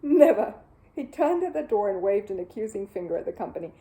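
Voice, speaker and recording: A woman narrating a children's story as an animated audiobook reader. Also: medium pitch, quick pace, poor audio.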